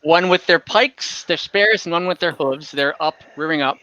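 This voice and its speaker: A game master narrating a tabletop role-playing game informally, thinking out loud while tallying dice over an online call. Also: mid-range voice, normal speed, no echo, highs cut off. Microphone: online group call.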